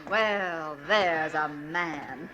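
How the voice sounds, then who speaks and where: An actress playing a scornful, nagging wife with sarcastic, theatrical scolding in old-time radio style. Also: female voice, sits low, extremely slow, a crowd in the background. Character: scornful, nagging middle-class wife who mocks her husband. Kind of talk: 1940s radio acting. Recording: noisy old radio recording, clicks.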